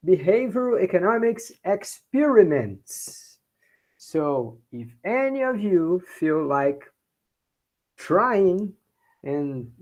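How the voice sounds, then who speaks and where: Brazilian man, university lecturer, slightly high voice, Brazilian accent, repeats himself for students. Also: darkish tone. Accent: Brazilian Portuguese. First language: Portuguese. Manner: repeats points in Portuguese, checks in with "okay?". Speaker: university professor. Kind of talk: bilingual class lecture.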